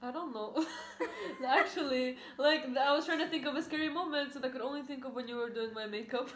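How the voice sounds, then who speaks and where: A woman's voice: high voice, fast. A young woman chatting casually as a guest, talking thoughtfully with some hesitation. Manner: thoughtful, hesitant, then laughing. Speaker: guest friend. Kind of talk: casual chat. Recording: echoey room.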